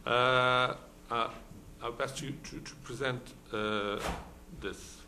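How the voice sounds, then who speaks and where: Brazilian man giving a halting conference talk. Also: mid-range voice, fairly even intonation, noisy background. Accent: Brazilian Portuguese. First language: Portuguese. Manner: hesitant, many fillers and restarts. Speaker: law professor, conference panelist. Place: conference hall.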